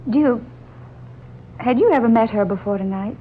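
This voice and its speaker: An actress playing a chatty, teasing young confidante, in 1940s radio drama style. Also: female voice, on the high side, moderate pace, natural voice. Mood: lively. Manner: inquisitive, teasing. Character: chatty, curious young woman, a romantic confidante. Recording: dull, faintly noisy old radio recording.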